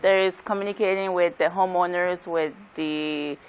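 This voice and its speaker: Soft-spoken low-voiced woman from Ghana. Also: steady tone, normal speed, smooth. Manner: soft-spoken, hesitant, frequent "um"s. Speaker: contractor, guest. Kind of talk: interview answers.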